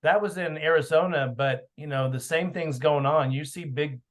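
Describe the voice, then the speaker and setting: A man leading a team video meeting, chatting in a rambling way, telling stories and calling on team members. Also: a little high. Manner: chatty, rambling, quick to praise. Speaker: team leader hosting a meeting. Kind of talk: casual meeting chat. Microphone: video call.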